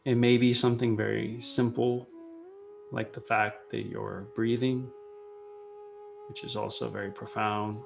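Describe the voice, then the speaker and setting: A man leading a guided meditation, speaking in short unhurried phrases with pauses between them. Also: lowish voice, level tone, creaky. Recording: soft music behind the voice. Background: meditation music.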